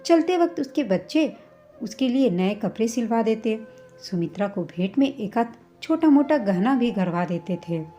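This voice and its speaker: A woman's voice: on the high side, lively intonation, moderate pace, warm tone. A woman narrating a scripted family story as a storyteller. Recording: slightly dull, muffled recording. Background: background music.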